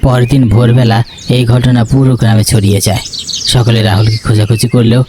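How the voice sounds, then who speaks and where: A man's voice: medium pitch, steady tone, fast talker, background noise. A man reading scripted narration for a horror cartoon story. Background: music.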